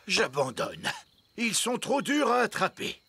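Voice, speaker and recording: A pompous fairy-tale king, put-upon and exclamatory. The delivery is slow but animated, a cartoon grumble set over clear music. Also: mid-range voice. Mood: grumbling. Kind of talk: French cartoon voice acting. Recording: music behind the voice.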